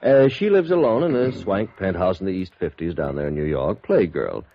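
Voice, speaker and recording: Male: fretful businesslike adjuster in vintage radio drama, rough-edged voice, animated, muffled dry recording. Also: sits low, normal speed, dry recording, heavily acted. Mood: briefing. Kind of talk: 1950s radio drama acting.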